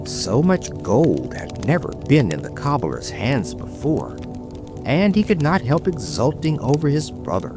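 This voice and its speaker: A man's voice: mid-range voice, wildly swooping pitch, measured pace. A man narrating a scripted bedtime fairy tale dramatically as a storyteller. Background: background music.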